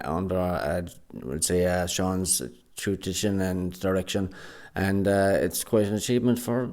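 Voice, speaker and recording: An Irish man, a radio drama actor, chatting in an interview with many pauses and fillers in a recording studio. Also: low-pitched, darkish tone. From Ireland. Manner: hesitant, many fillers and restarts. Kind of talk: casual interview chat.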